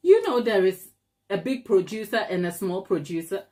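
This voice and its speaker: Ghanaian woman, channel host on a live stream, direct and emphatic, fond of rhetorical questions, mid-pitched voice. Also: lively intonation, normal speed, warm tone. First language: not English. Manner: emphatic, talks straight to viewers, rhetorical questions. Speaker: cake maker and channel host. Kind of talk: live stream chat to viewers.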